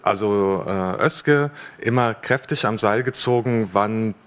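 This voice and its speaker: A man on a festival panel explaining a workshop exercise, slow with restarts. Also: on the low side, a bit bright, smooth. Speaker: workshop participant. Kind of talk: panel discussion. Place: stage at a festival.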